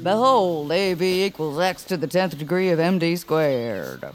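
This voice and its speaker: A woman playing an eccentric, excitable small inventor creature with a creaky voice and theatrical, self-important phrasing, in a cartoonish audio drama. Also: fairly low pitch, a little slow, soft background music. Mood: smug, grandiose. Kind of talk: cartoonish audio fiction acting.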